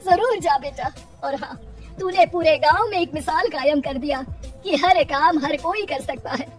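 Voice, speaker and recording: A woman's voice: high-pitched, normal speed, distant mic, faint music behind, natural voice. A woman voicing an older village mother-in-law who speaks affectionately and worries about her family. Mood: proud.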